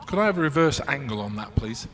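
A man's voice: medium pitch, very animated, quick pace, fairly bright tone. A man acting as television umpire, giving procedural review instructions.

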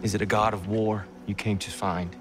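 An actor playing a broken, gentle former war god in a video game, timid and pleading. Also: male voice, lowish voice, level tone, moderate pace, lightly acted. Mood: hesitant, wary. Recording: game cutscene audio with music behind.

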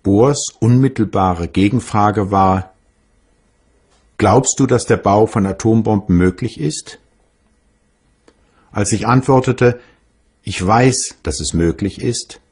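A grave male physicist recounts his wartime past for a documentary. His voice is low and husky, and he speaks very slowly, with careful, formal phrasing. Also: a little mellow, heavily acted. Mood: grave, measured. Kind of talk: documentary dramatized reading of memoirs.

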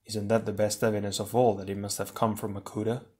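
A man's voice: fairly low pitch, level tone, fast talker, a little mellow, natural voice. A man voicing a stern, reserved male ice hero who speaks formally and tersely. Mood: brooding. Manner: formal, clipped.

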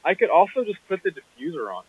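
A young man chats casually as part of a lighting crew. He talks quickly in a slightly high voice, and it arrives thin and phone-like over a radio intercom. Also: big pitch swings, breathy edge, no echo. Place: outdoors at night. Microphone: intercom headset.

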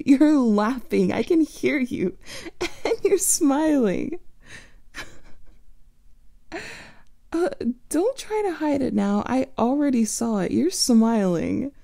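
A woman playing a playful, affectionate vampire lover in an ASMR roleplay, speaking softly and often in whispers into a close microphone. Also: sits high, gravelly, dry recording. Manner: soft teasing, often whispered.

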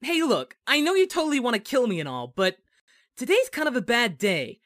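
A man's voice: very high-pitched, wildly swooping pitch, brisk, a bit bright, no room sound, clean studio sound, heavily acted. A man voicing a cheerful, naive, dim-witted young martial arts hero in a parody anime dub, childlike and innocently blunt. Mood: casual.

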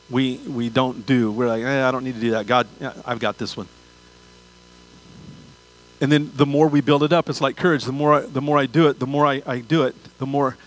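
A man's voice: mid-range voice, low-quality recording. Older man preaching conversationally. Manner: conversational preaching with repeated phrases and rhetorical questions. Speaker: pastor. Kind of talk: sermon. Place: large church auditorium stage.

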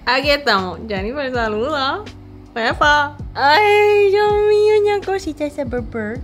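A woman's voice: high-pitched, measured pace. Puerto rican woman vlogging to a phone cam, chatty, mixes english in, music under it. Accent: Puerto Rican Spanish. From Puerto Rico. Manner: chatty, expressive, switches into English mid-sentence. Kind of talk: casual vlog, Spanish mixed with English. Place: indoors at home and in a car. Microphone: camera at arm's length and dashboard camera.

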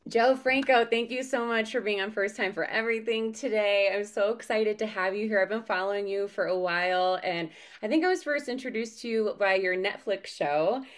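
A woman's voice: mid-range voice, moderate pace, few pauses, faint music behind. A young adult woman hosting a podcast interview over a video call from home, chatty and bubbly. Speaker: podcast host. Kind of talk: casual interview chat.

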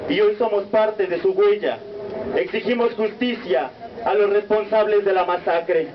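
A young man with a Mexican accent reading a solemn protest speech as a student speaker, in a measured, declamatory cadence. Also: extremely high pitch. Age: young adult. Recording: muffled lo-fi recording with faint noise.

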